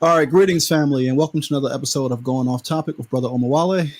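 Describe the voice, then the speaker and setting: A man's voice: mid-range voice, racing, very noisy. A man hosting a casual interview, talking easily with many fillers and restarts. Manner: relaxed, lots of fillers and restarts. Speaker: host.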